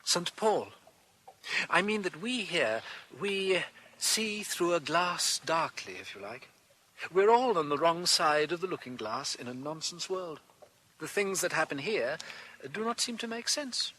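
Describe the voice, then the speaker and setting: A thoughtful priest in a radio play. The voice is rather high and very expressive in pitch, gentle and hesitant, with faint noise on the recording. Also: male voice, unhurried. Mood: solemn. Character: mild, bookish, thoughtful English priest sleuth. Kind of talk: radio drama acting.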